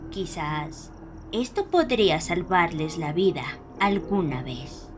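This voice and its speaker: A woman playing a stern, guarded elderly grandmother in a horror audio drama, speaking slowly in admonishing tones. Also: fairly low pitch, big pitch swings, background noise. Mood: grave.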